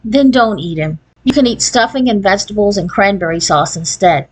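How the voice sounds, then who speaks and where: A patient, reasonable older sister from a children's story, voiced by a woman reader. She explains things in a calm, reasoning manner. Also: mid-range voice, brisk, breathy edge, natural voice, low-quality recording. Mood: resigned.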